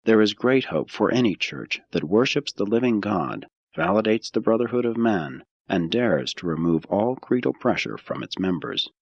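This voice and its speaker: A man reading a scripted audiobook of religious philosophy in a measured, solemn, deliberate way. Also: on the low side, lively intonation, breathy edge, no room sound, highs cut off. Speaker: audiobook narrator.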